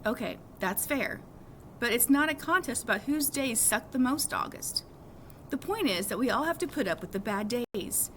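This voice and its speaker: Woman voicing an emphatic, high-pitched teenage big sister. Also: lively intonation, normal speed, a bit bright. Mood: earnest, pleading. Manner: emphatic, persuasive, exasperated. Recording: clearly audible background noise.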